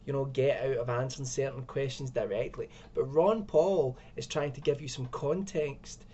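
A man's voice: medium pitch, normal speed, warm tone. A young man giving an exasperated, sarcastic commentary from a room at home, speaking into a webcam. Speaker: video commentator. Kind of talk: ranting video commentary.

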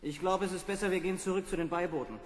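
A man's voice: sits high, fairly even intonation, very fast, natural voice. A man playing an easygoing astronaut in a German radio play, informal and coaxing in manner. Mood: thoughtful. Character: easygoing male astronaut fond of his robot. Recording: reverberant radio play with background noise.

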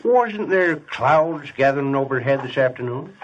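An actor playing a flustered, folksy cowboy sidekick who stammers in a rustic way in a vintage western radio comedy-drama. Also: male voice, medium pitch, animated, normal speed, muffled recording, close to an everyday voice. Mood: puzzled. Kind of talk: 1950s western radio acting. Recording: old radio recording, faint hiss, clicks.

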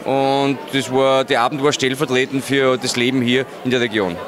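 A man's voice: mid-range voice, level tone, moderate pace. An Austrian man in his forties giving a casual interview at a handheld microphone in a foyer. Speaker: interviewee, friend of the author. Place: large busy indoor foyer. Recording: busy crowd chatter behind.

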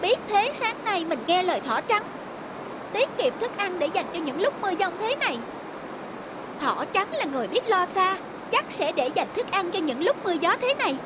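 Very high-pitched childlike cartoon rabbit. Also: female voice, sing-song, moderate pace, noisy background. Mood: hungry, regretful. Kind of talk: animated fairy-tale dubbing.

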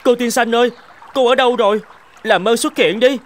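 A man's voice: extremely high pitch, fairly quick, music in the background. A man voicing a restless, wistful dog in a children's cartoon, speaking eagerly and expressively. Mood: pleading. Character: restless, wistful male dog wishing to change.

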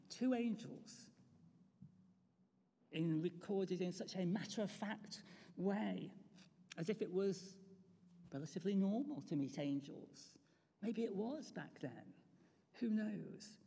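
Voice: mid-range voice, gravelly, warm tone, husky. A preacher giving an Easter sermon in a reflective tone, asking the congregation rhetorical questions. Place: church. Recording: long church reverb.

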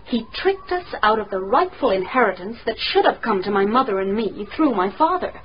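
Self-possessed young female played by an actress, lively intonation, radio play, dull recording. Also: mid-range voice, fairly quick, lightly acted. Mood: confessing, earnest. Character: frank, self-possessed young woman heiress. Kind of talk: radio drama acting. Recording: muffled radio recording, faint noise.

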